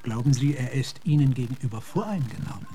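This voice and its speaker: An actor playing an earnest, philosophical gentleman officer in a German radio drama, speaking in a measured, reflective way. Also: medium pitch, lively intonation, soft background music, natural voice. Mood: calm advising. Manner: measured, reflective, sometimes hushed.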